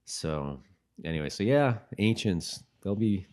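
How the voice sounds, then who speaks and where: Lowish-voiced man, relaxed podcast chat. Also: measured pace. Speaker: podcast co-host. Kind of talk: casual chat, reading notes aloud.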